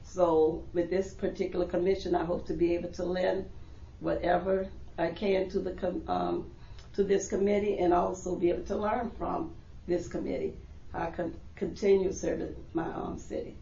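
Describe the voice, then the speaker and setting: A middle-aged woman from New Orleans introduces herself at a civic meeting, earnest and measured. Her voice is gravelly and somewhat low. She sounds roomy and slightly muffled. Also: flat delivery, warm tone. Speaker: commission applicant. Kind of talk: self-introduction at a public meeting. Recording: echoey room, dull lo-fi sound.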